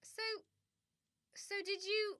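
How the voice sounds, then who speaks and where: Guy doing a squeaky-high polite young shopper, super bright and sharp, naive, hesitant, comedy sketch. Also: little pitch movement, takes it slowly, dry recording, exaggerated voice. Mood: awed, curious. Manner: hesitant, polite, easily awed. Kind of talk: improvised radio comedy sketch.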